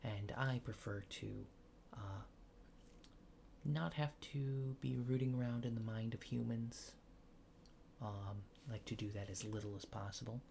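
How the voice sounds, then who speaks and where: A man playing a laid-back, faintly apologetic alien fixer in a soft, hesitant, often near-whispered ASMR roleplay. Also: medium pitch, fairly even intonation, a little slow, gravelly, slight background noise. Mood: apologetic, dry. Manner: hesitant, understated, dryly matter-of-fact.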